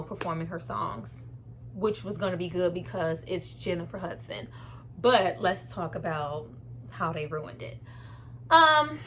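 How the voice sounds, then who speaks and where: A woman's voice: fairly low pitch, a bit bright. Woman reviewing a film, noisy background. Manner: candid, opinionated, conversational, emphatic with slangy swearing. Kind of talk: casual spoken movie review.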